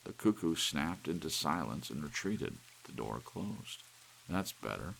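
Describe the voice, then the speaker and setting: A man's voice: very deep voice, a little slow, creaky, poor audio. A man narrating fiction as a soothing ASMR audiobook. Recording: steady soft rain hiss behind. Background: soft rain.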